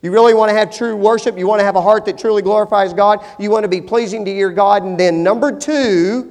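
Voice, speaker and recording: A middle-aged male pastor preaching a sermon, shifting from hushed, slow stretches to emphatic, rising appeals. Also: high-pitched. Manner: dynamic, swings from hushed to emphatic. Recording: long hall reverb.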